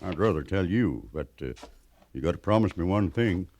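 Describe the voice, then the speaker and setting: A man's voice: low voice, animated, moderate pace, darkish tone, a little hiss or hum. An American actor playing a plainspoken, weathered mountain man scout in a western radio drama, with a folksy rustic drawl. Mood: grave, urgent.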